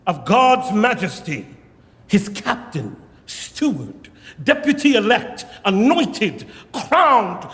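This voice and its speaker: A man's voice: extremely high pitch, a bit bright, exaggerated voice. An actor playing an impassioned loyal churchman defending his king in declamatory Shakespearean radio verse. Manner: declamatory, fervent. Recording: long reverberant radio drama sound.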